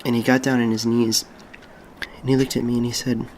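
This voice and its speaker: Seeking male actor for a dreamy young man, a lonely janitor: mid-pitched, hushed, hesitant and wistful, intimate radio drama over background noise. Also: little pitch movement, close to an everyday voice, low-quality recording. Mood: wistful, confiding. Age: young adult. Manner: hesitant, halting, hushed confiding storytelling. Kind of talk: intimate audio drama monologue.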